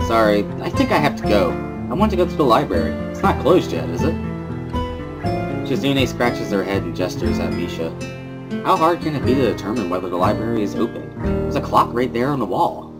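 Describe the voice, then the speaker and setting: A man's voice: medium pitch, big pitch swings, a little fast, over loud music, lightly acted. A man playing a thoughtful, wry teenage schoolboy who narrates his own story in a visual novel dramatic reading.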